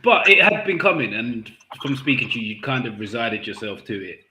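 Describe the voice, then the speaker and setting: Bright-voiced podcast host, echoey room. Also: male voice, mid-range voice, very animated, brisk, a little hiss or hum. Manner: upbeat, steers the talk around the group. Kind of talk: casual football podcast chat.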